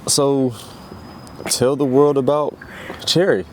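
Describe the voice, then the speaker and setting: A man hosts a casual interview show, asking questions loosely and haltingly, with frequent restarts. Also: mid-range voice, steady tone, slight background noise. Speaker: interview host.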